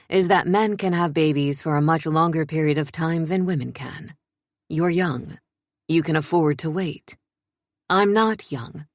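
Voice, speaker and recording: An actor voicing a commanding, controlled older royal man in audiobook dialogue, measured and authoritative. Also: on the high side, no room sound, studio quality.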